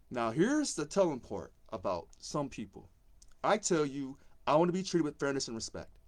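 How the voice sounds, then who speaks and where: A man with a deep voice, a union presidency candidate, speaking on a live stream and repeating key phrases for emphasis. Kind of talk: campaign speech on a live stream.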